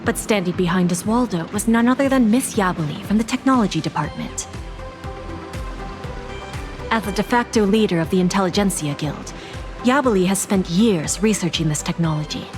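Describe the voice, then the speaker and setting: A woman playing a poised, authoritative corporate insider, giving articulate, measured exposition in a video game. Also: medium pitch, lively intonation, close to an everyday voice. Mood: matter-of-fact. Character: composed, authoritative female corporate insider briefing allies. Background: game music.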